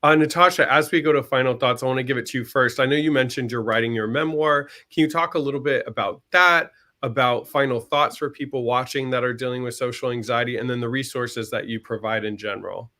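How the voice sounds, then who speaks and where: An earnest, talkative man at an ordinary middle pitch, co-hosting a live-streamed chat over a video call. He speaks openly and at length about his own experience. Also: fairly even intonation, fast, hardly any pauses, sharp tone. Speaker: co-host.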